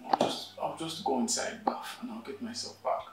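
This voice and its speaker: An actor playing a distraught, hot-tempered husband, with a Nigerian accent and a plaintive, insistent delivery. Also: male voice, moderate pace, very noisy, lightly acted. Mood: subdued. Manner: plaintive, repeats words insistently. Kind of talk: emotional film drama acting. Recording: echoey room.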